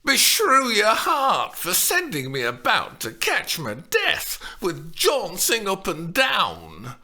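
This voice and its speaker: A woman's voice: mid-range voice, wildly swooping pitch, pushed and bright, voice pushed up, heavily acted. A man doing a groaning Lancashire old nurse. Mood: teasing complaint. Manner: moaning, drawn-out, theatrically complaining. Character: older woman acting as a teasing, groaning old nurse.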